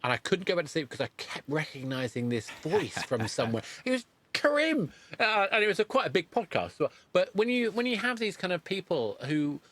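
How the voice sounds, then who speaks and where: A man hosting a casual podcast interview, speaking haltingly and rephrasing his thoughts as he goes. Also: high-pitched, very animated, a bit bright.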